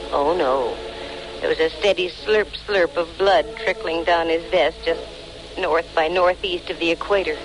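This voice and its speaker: A woman's voice: fairly low pitch, natural voice. A noisy vintage radio recording carries a woman acting a sardonic American lady detective. She narrates her case in breezy, dry wisecracks, like a former model who has seen it all, in classic 1940s radio style. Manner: dry, wry, sardonic delivery. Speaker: lead actress and narrator.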